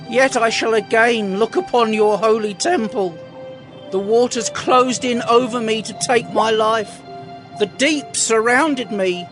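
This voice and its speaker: Man acting an anguished prophet, very high-pitched voice. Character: Jonah, anguished male Hebrew prophet praying in distress. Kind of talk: dramatized scripture reading. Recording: music under the voice.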